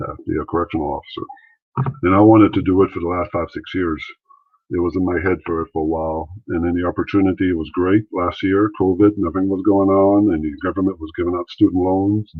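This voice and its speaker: Man, very low voice, tinny call audio, rambling casual talk. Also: no room sound. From Maritimes, Canada. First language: French. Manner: rambling, many restarts and fillers. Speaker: interview guest, former wrestler and actor. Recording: thin phone-like line, dry.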